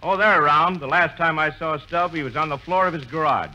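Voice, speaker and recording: An actor playing a folksy, slow-witted small-town mayor in a 1940s radio comedy. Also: male voice, a little high, pushed and bright, muffled recording.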